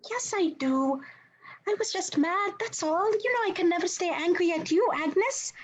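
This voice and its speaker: A woman's voice: extremely high pitch, lively intonation, normal speed. A woman voicing an earnest, self-important little male monster sidekick in a read-through of a comedy script. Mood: earnest, wounded. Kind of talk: cast table read of a film script.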